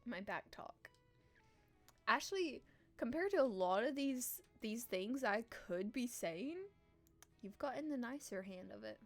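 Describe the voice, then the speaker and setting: A woman live-streaming a visual novel, reading aloud and reacting casually in a playful, expressive, teasing way. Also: a little high, a little slow, faint music behind.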